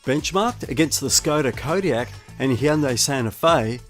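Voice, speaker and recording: A man reading a scripted car review narration as the reviewer. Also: mid-range voice. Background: music bed.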